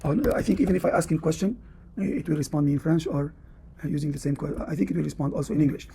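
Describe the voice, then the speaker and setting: A man's voice: a little high, very fast, vocal fry, mellow, a little hiss or hum. A man with a Tunisian accent, a professor giving a classroom lecture and explaining at length with many "uh"s and "you know"s. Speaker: university professor.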